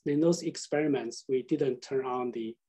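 A man presenting research as a scientist on a video call, halting and often searching for words. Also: medium pitch, mellow, breathy edge. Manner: hesitant, repeats and searches for words.

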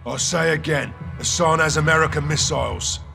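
An actor playing a stoic, terse special forces lieutenant with a British accent, clipped and deadpan, in a military game. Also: male voice, on the high side, moderate pace, gravelly. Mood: urgent. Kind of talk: cinematic military game acting. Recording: loud music behind dialogue.

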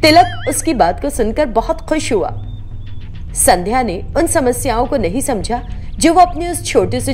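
A woman reading a scripted story as narrator. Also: a little high.

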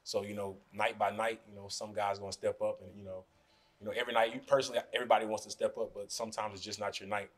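A man's voice: on the low side, quick pace. A young man in his twenties, a basketball player answering questions at a postgame press conference, speaking loosely with many "you know"s into podium mics. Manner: relaxed, fills with "you know" often. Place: press room in front of a sponsor backdrop. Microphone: podium mics in front of him.

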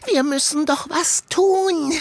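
A woman playing a worried but determined young boy in a children's audio drama, with a husky voice. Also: high-pitched, slow pace. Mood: surprised. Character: young boy Sammy, worried, determined to save his friends.

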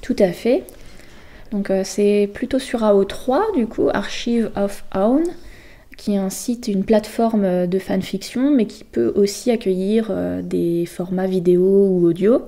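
A woman guest explaining things casually in an interview, with hesitant, halting phrasing. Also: medium pitch, fairly even intonation, mellow. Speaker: guest presenting a volunteer project.

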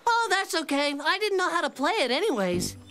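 A woman's voice: very high-pitched, sing-song, brisk. A woman voicing a chubby, scheming little cartoon boy who talks in a grandiose, hammy way. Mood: flustered. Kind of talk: cartoon voice acting. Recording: loud cartoon music underneath.

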